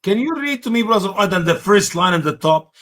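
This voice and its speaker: A middle-aged man from Egypt with an Egyptian Arabic accent, co-hosting a casual panel discussion in an excitable, emphatic way. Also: brisk. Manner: excitable, emphatic, swings high on key words. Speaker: co-host.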